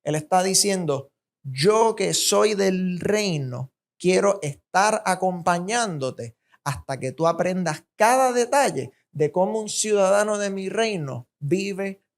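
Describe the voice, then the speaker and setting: Preacher, female, Puerto Rican accent. Slow delivery, deliberate and emphatic sermon style with repetition for weight. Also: mid-range voice, lively intonation. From Puerto Rico.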